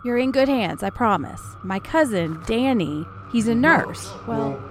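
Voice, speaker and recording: A woman's voice: fairly high pitch, expressive, a little slow, a little hiss or hum. A woman playing a curious, reassuring, take-charge figure in an audio drama, with an inquisitive manner.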